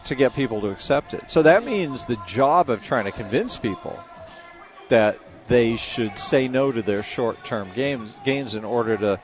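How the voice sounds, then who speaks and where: A man hosting a live radio talk show from an outdoor food festival, interviewing a guest and lively in his delivery. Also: medium pitch, moderate pace, soft background music. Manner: animated, mimics opponents in a raised voice.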